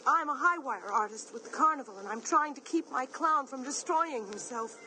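An actress playing a young carnival high-wire artist who pleads emotionally for a friend. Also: female voice, expressive, normal speed, bright, forceful tone, a little hiss or hum, exaggerated voice. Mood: sorrowful.

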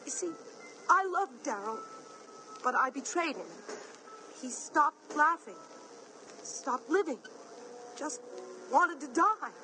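An actress playing a young carnival high-wire artist who pleads emotionally for a friend. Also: female voice, expressive, slow pace, pauses often, music in the background, low-quality recording. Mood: sorrowful.

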